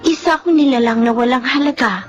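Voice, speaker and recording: Woman playing a high-voiced timid orphan teenage girl. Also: fast, natural voice. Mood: sad, wistful. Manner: plaintive, polite, emotional. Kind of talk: Filipino radio drama acting. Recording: muffled, music underneath.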